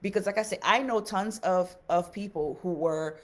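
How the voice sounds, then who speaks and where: A woman's voice: mid-range voice, moderate pace. A woman from Haiti, a native Haitian Creole speaker, giving casual advice as a teacher on a live stream, earnest and repeating phrases for emphasis.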